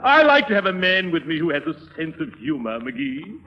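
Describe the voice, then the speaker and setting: Stuffy hearty lord big game hunter, kinda high voice, muffled old 30s radio. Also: male voice, huge pitch swings, moderate pace. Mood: amused. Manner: pompous, hearty, exclamatory. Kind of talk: 1930s radio comedy acting. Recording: muffled 1930s radio, audience noise.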